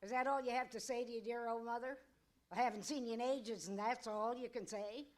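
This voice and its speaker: A woman's voice: fairly high pitch, steady tone, brisk, darkish tone, close to an everyday voice. An actress playing a nagging, brassy old mother of a cowboy in an old-time radio comedy, scolding and folksy.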